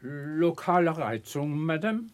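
An actor playing a timid, gentle doctor in a radio drama, speaking with polite, hesitant deference. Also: male voice, on the high side, animated, takes it slowly. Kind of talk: 1960s German radio drama acting.

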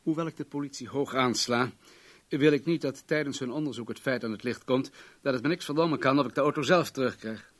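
A man's voice: medium pitch, natural voice. Actor playing a commanding, guarded wealthy businessman. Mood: guarded, firm. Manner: measured, formal, commanding. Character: wealthy, controlling businessman, guarded and self-assured. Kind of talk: radio play acting.

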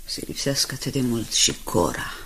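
An actress playing a dutiful, sorrowful newspaper secretary in a radio drama, speaking respectfully with subdued emotion. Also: female voice, rumbling low, very animated, fairly bright tone, heavily acted. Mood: sorrowful, bitter. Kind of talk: 1980s Romanian radio drama acting.

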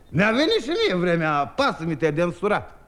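A boastful young peasant trickster, high, swooping delivery, old radio play. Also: male voice, heavily acted. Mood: wistful. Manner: lively, boastful, chuckling rhymed telling. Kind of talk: 1950s radio drama, rhymed storytelling.

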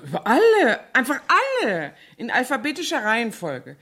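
A woman's voice: a little high, wildly swooping pitch, normal speed, fairly bright tone, slightly rough, heavily acted. An actress in a German radio drama playing a chatty, indiscreet cleaning lady, garrulous and self-righteous. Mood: gossipy, eager.

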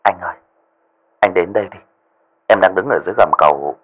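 Guy doing a jumpy young sidekick, low husky voice, rushing words. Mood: nervous. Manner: hesitant, hurried. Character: nervous young male underling on the phone.

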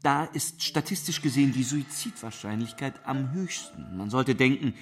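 An actor in a radio drama playing an awkward psychiatrist who explains things didactically and hesitantly. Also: male voice, mid-range voice, lively intonation, normal speed, a bit bright, soft background music. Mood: didactic. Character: awkward, rambling male psychiatrist explaining to a patient.

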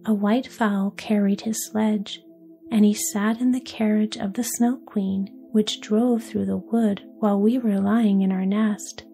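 A woman voicing a wood pigeon that coos softly, in a storybook tale. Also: medium pitch, steady tone, measured pace, gravelly, soft background music. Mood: sad. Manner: cooing, soft.

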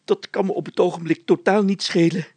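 A man's voice: high voice, steady tone, brisk, a little mellow, no room sound, pitched above the performer's own voice. A man voicing a pompous, grumpy, whiny and stubborn mayor in a children's story, blustering and complaining as he gives orders. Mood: wailing in pain. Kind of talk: children's storybook character acting.

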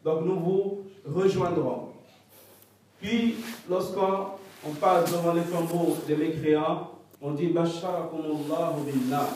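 A man's voice: on the high side, steady tone, slow pace, darkish tone, very echoey, slight background noise. Hesitant man teaching from far off. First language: not French. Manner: hesitant, searches for French words. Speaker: religious teacher. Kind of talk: religious lesson, relaying a scholar's answers.

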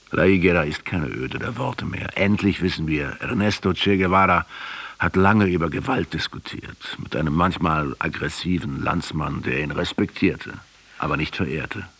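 An actor in a radio drama playing a sober, argumentative male intellectual, speaking in a measured and earnest way. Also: low-pitched, fairly bright tone. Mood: earnest, firm. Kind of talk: German radio drama acting.

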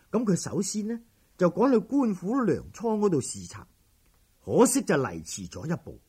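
Raspy, animated Cantonese male storyteller. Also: medium pitch. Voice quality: very husky, raspy. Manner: animated, colloquial, emphatic. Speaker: storyteller, narrator. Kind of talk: traditional narrative storytelling.

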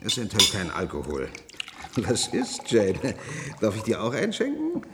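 An actor playing a genial, eccentric physics professor in a German radio play, jovial and coaxing, with hesitant asides. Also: male voice, on the low side, huge pitch swings, normal speed. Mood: genial, hospitable. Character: genial, eccentric, unhurried male physics professor, Nobel laureate. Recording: reverberant hall with background noise.

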